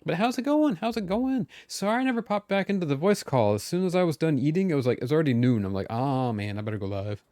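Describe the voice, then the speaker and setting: Upbeat male game streamer, lively higher pitch. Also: fast talker, few pauses, dry recording, clean studio sound. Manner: upbeat, friendly. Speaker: live streamer. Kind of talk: casual chat while streaming a video game.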